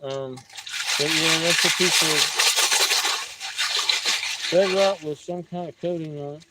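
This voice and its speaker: A man in his fifties, hosting a prospecting livestream outdoors and chatting in a relaxed, rambling way. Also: on the high side, measured pace, very noisy. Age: 50s. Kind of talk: casual outdoor chat. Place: outdoors by a creek.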